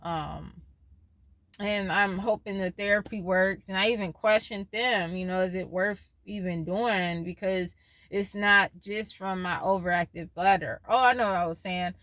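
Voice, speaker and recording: A woman's voice: medium pitch, a little slow, fairly bright tone, no room sound. A woman in her twenties talking casually in a personal vlog, rambling and losing her train of thought as she shares her worries and her faith. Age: 20s. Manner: worried, faith-filled musing. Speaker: vlogger.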